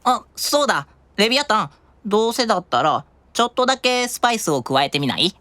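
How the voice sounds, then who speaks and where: A woman's voice: medium pitch, big pitch swings, fairly quick, a bit bright. A woman voicing an earnest, stubborn little angel boy, childlike and theatrical, in an anime-style audio drama. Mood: excited, nervous.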